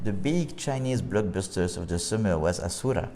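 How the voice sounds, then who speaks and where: French-accented male director, lowish register, halting delivery full of fillers, long reverb. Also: expressive, warm tone, slight background noise. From France. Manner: halting, with many "uh" fillers and restarts. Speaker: film director. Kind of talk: interview conversation.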